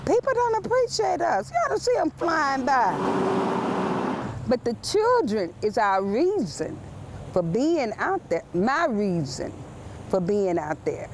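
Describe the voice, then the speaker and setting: Slow-speaking crossing guard woman on noisy street. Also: on the high side, big pitch swings. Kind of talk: interview answers and calls to passers-by. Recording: noisy outdoor street with passing vehicles.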